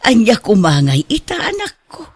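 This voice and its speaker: An actor playing a doting, teasing parent of a grown son in an Ilocano radio drama, advising in an animated way. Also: male voice, very high voice, moderate pace, husky, no room sound, exaggerated voice. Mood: concerned, reassuring.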